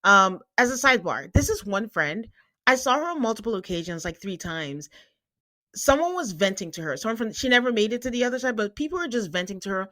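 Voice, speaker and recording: A woman's voice: mid-range voice, big pitch swings, fairly quick, sharp tone, no room sound. A woman whose first language is not English, co-hosting a podcast and chatting casually about a reality show, with frequent fillers and laughs. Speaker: podcast co-host.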